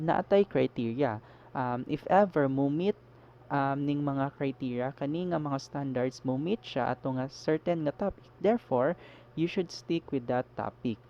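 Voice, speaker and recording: A Filipino teacher with a Cebuano accent, talking through his slides with a mellow but fairly high voice. He moves between English and Cebuano and keeps checking in with his listeners. The voice-over sounds dull and lo-fi. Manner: mixes English with Cebuano, explanatory with frequent "no?" checks. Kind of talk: recorded slide lesson.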